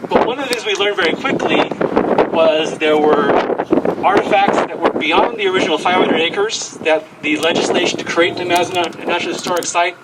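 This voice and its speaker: A man's voice: high-pitched, fairly quick, recorded from a distance. A middle-aged or older man giving an informal, emphatic tribute speech at a windy outdoor event. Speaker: committee speaker introducing an honoree. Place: outdoor event in strong wind. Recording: windy outdoor mic, loud wind noise.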